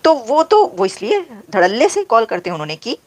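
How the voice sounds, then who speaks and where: A woman's voice: a little high, big pitch swings, brisk, a little mellow. A woman with a Pakistani accent giving emphatic, unscripted political commentary, mixing in English phrases.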